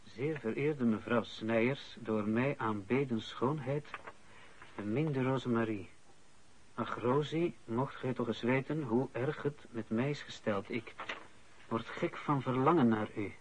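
An actor playing an indignant, flustered official in a radio play, protesting emphatically and stammering. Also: male voice, medium pitch, a little slow, slight background noise, natural voice, low-quality recording. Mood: mocking, then baffled. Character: indignant, flustered male official wrongly accused.

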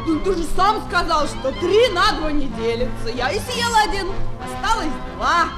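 A woman's voice: very high-pitched, lively intonation, normal speed, over a noisy crowd. A woman playing a sly, greedy clown who drawls in mock innocence in a children's audio sketch. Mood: smug, innocent. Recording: old flexi-disc with music.

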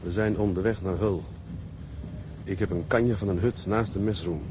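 An actor playing a sober young tugboat mate in a radio drama, speaking in a dry, understated way. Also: male voice, low-pitched, normal speed, noisy background, lightly acted. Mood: tender, narrating. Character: young Dutch tugboat mate, sober, dryly sceptical newlywed.

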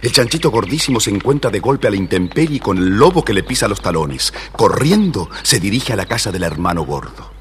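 A man narrating a scripted children's tale with an expressive, deliberate storyteller delivery. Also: fairly low pitch. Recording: reverberant, faintly noisy old story record.

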